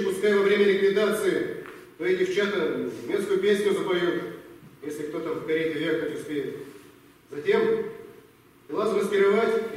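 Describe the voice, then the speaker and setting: A man acts out a weary but commanding wartime colonel in a radio drama. The voice is high for a man, persuasive and deliberate in short phrases. It sounds distant, washed in heavy hall echo. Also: a little mellow, close to an everyday voice. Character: wartime army colonel, a firm, weary, persuasive superior briefing his officer. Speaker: amateur stage actor. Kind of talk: radio play acting. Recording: echoey hall, far from mic.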